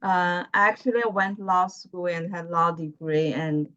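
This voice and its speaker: A woman from mainland China with a Chinese accent, chatting on a video call as a guest, pausing and searching for words. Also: lowish voice, fairly bright tone, dry recording. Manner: halting, searching for words, laughs often. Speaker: guest, lawyer. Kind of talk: casual video-call chat. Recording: muffled video call audio.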